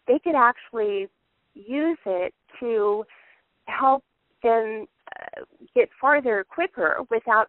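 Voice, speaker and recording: A woman's voice: medium pitch, no room sound. A woman speaking on a telephone conference call, giving earnest, conversational business advice and explaining it step by step. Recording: narrow, tinny telephone conference line.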